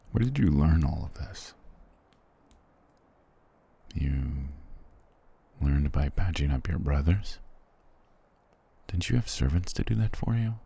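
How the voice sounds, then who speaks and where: Man acting a very deep, gravelly, cruel soldier prince. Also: warm tone, exaggerated voice. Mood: grudging, curious. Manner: curt, dismissive, condescending. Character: cruel, prickly, proud young soldier prince, guarded and weary. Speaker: voice actor in audio roleplay. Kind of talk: ASMR fantasy roleplay acting.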